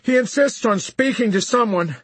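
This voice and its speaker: A man's voice: high-pitched, lively intonation, a little fast, fairly bright tone, slightly rough, dry recording, voice pushed up, exaggerated voice. A man voicing a nervous, deferential army sergeant urgently reporting to his superiors in an audiobook. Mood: nervous pleading. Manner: pleading, deferential.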